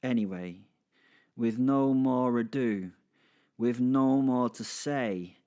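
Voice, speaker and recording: A man's voice: mid-range voice, slow pace, warm tone, smooth. A man hosting a podcast introduction in a playful, rambling, informal way, often interrupting himself. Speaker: podcast host.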